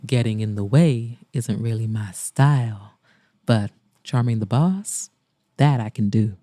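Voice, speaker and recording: A woman voicing an easygoing, flirtatious man with a playful, smooth-talking manner in a romance audiobook. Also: a bass voice, a little slow, dark tone, no room sound, clean studio sound, voice pushed down. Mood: flirty, warm. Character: easygoing, teasing, flirtatious male saxophonist, adult charmer.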